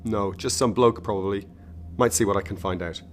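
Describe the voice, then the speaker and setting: A man's voice: on the low side, a little fast, lightly acted. Callous henchman, acted by a man, noisy recording. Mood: callous, menacing. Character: callous male henchman agent, obedient and menacing. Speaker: actor in a radio drama. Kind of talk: radio drama dialogue.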